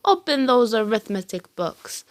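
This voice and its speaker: A storybook witch of a schoolteacher, acted out by a woman reader, strict and menacing. She hisses and snaps out commands in a slow, nasty, bossy way. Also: on the high side, sing-song, no room sound. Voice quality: hissing, unpleasant voice. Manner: snapping, commanding.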